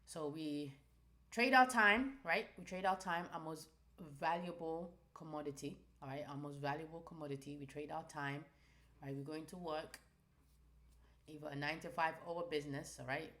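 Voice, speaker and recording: A woman with a notably low voice leads a live trading session. She speaks emphatically, stressing her key points and often tacking on a quick "right?" to keep viewers with her. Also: a bit bright, reverberant. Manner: emphatic, ends phrases with "right?". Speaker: trading stream host.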